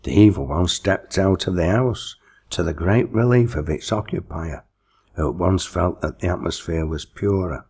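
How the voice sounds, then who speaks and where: Male audiobook reader, low-pitched, raspy, deliberate, theatrical storytelling. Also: a little mellow. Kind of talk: expressive scripted storytelling narration.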